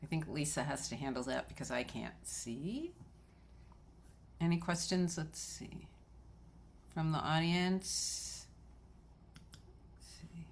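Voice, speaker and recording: A woman's voice: deep voice, steady tone, slow pace, creaky, long pauses. A woman moderating a film festival Q&A, interviewing casually and putting questions in a conversational way.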